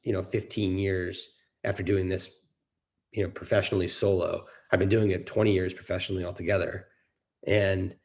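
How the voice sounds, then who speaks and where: A man's voice: low-pitched, gravelly, darkish tone. A middle-aged man giving casual, opinionated market commentary as an investor hosting a discussion, explaining his points with emphasis. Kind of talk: casual off-the-cuff market commentary with audience questions.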